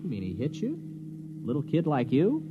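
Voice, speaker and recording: An actor playing a flustered, sardonic young American graduate student, irritable and bookish, in old-time radio drama style. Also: male voice, a little high, huge pitch swings, moderate pace, phone-quality sound. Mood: grudging, puzzled. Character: exasperated, bookish male university graduate student. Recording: hissy, noisy old radio recording.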